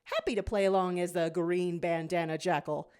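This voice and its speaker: A woman narrating a scripted fan fiction story in an expressive reading style. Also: on the low side, moderate pace, smooth.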